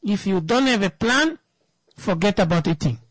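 A woman's voice: medium pitch, animated, normal speed, slightly rough, no room sound. A woman, giving an emphatic opinion talk that turns into a personal story, repeating words for stress.

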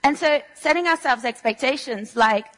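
A woman's voice: high voice, moderate pace, fairly bright tone, long reverb tail, low-quality recording. A young woman from Switzerland giving a prepared talk to an audience in a room, telling personal stories with earnest delivery and a touch of dry humor. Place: lecture room before an audience.

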